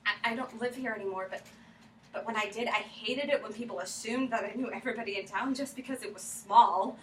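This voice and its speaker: A woman on stage playing a flustered, heartbroken woman who searches for a lost love in halting, stammering, apologetic speech. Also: on the high side, close to an everyday voice. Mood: embarrassed, rambling. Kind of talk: staged play reading. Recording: roomy, distant mic, faint noise.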